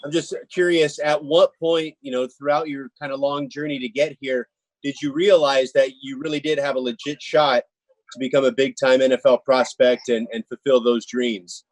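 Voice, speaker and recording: A man's voice: mid-range voice, steady tone, moderate pace, no echo, poor audio. A man working as a sports reporter asks a question on a conference call.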